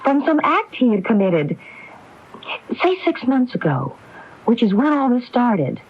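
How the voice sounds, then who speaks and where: An actress playing a determined, anguished widow in a radio mystery drama, speaking in an insistent, probing way. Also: female voice, on the high side, very animated, a little slow. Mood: grave, probing. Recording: dull lo-fi AM radio broadcast recording.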